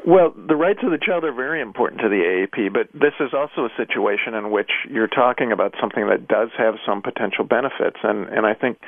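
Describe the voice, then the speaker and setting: A man's voice: on the low side, vocal fry, no echo. A man speaking as a pediatrician guest, explaining carefully and hesitantly with many fillers, heard over a phone line. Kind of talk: measured expert explanation.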